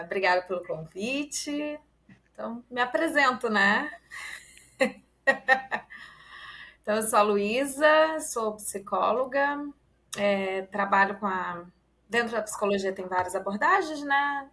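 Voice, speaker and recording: Gentle psychologist from Rio. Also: female voice, mid-range voice, expressive, unhurried. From Rio de Janeiro, Brazil. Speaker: psychologist guest. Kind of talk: casual podcast chat.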